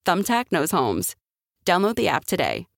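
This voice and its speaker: A woman reading a scripted advertisement voice-over, slow and deliberate. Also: on the low side, wildly swooping pitch, gravelly, no room sound, studio quality. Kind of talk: scripted ad read.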